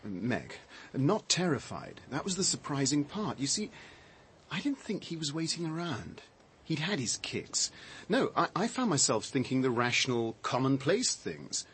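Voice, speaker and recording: An actor playing a shaken, defensive man with a halting, reflective, dry delivery in a radio drama. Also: mid-range voice, very animated, a bit bright, slight background noise. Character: shaken man recounting his discovery, defensive, sardonic.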